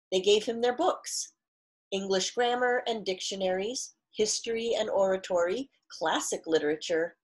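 A middle-aged woman reading a storybook aloud expressively like a teacher, deliberately stressing key words and pausing to explain. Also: mid-range voice, husky. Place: small living room at home, indoors.

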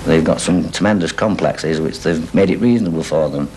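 A man, talking in a documentary interview at home, halting often with fillers. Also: a bass voice, a little mellow, poor audio. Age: 60s. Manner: hesitant, halting with many fillers. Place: living room at home. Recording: old VHS tape with faint hiss.

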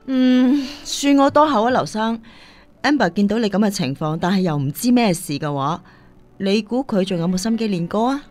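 A woman's voice: mid-range voice, lively intonation, moderate pace, darkish tone, lightly acted. A woman acting in a Cantonese radio drama as a caring, firm singing teacher, earnest and admonishing, with a Hong Kong accent.

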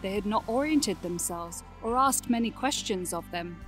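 A woman's voice: mid-range voice, animated, normal speed, faint music behind, close to an everyday voice. A woman acting as storybook narrator of a scripted fantasy audio drama. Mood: narrating.

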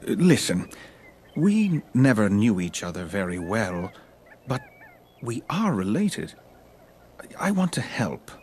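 An actor playing a kindly, well-off kinsman in an animated Bible film, hesitant and earnest. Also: fairly low pitch, slow, pauses often, a little hiss or hum. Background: music.

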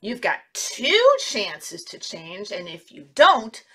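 A woman voicing a stern good fairy from a storybook, giving slow, sing-song scoldings. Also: medium pitch, huge pitch swings, a bit bright, breathy edge, pitched below the performer's own voice, exaggerated voice.

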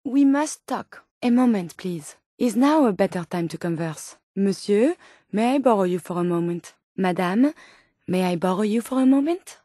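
A woman's voice: medium pitch, expressive, moderate pace, warm tone, dry recording, clean studio sound, lightly acted. An actress voicing a polite, curious female robot scientist from a video game, with a French accent and formal, precise, earnest phrasing. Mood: insistent, polite. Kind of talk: video game companion dialogue.

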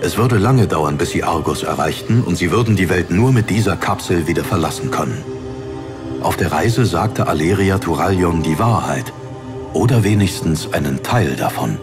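A man narrating a fantasy audiobook in a measured, serious storytelling manner. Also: low voice, lively intonation. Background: music.

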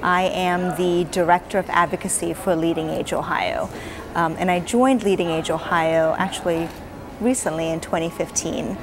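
A woman in her forties, an advocacy director answering interview questions in an earnest, measured way with frequent fillers, seated in a hotel lounge. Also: on the low side, fairly even intonation, noisy background.

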